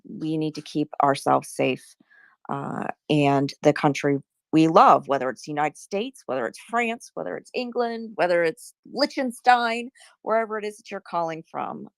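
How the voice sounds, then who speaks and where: A woman's voice: low voice, clear, resonant tone, no echo. A woman chatting casually on a live audio call, laughing easily and trailing off with fillers. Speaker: guest speaker.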